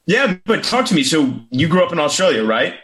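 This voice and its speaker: A man's voice: fairly high pitch, very animated, brisk, fairly bright tone, poor audio. A man hosting a casual interview, enthusiastic and slangy, calling his guest dude and man. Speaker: podcast host.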